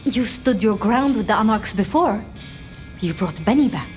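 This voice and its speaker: A woman playing a timid, bookish vampire researcher in a video game, hesitant and apologetic, stammering over halting phrases. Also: mid-range voice, animated, phone-quality sound, soft background music, close to an everyday voice. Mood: anxious, pleading. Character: timid, flustered, bookish female vampire researcher, devoted to her retainers. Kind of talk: video game dramatic dialogue.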